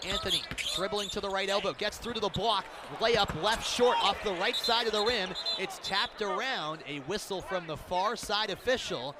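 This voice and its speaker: A man doing live radio play-by-play of a basketball game, an excitable announcer who builds to loud calls on big plays. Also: high voice, normal speed. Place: courtside in a large indoor arena. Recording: crowd noise, bouncing ball in arena. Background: arena ambience, basketball bounces.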